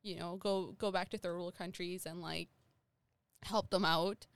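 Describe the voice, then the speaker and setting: A woman's voice: fairly low pitch, fairly even intonation, measured pace, clean tone, dry recording. A young woman from Nepal, a guest in a casual interview, rambling and full of "like" fillers.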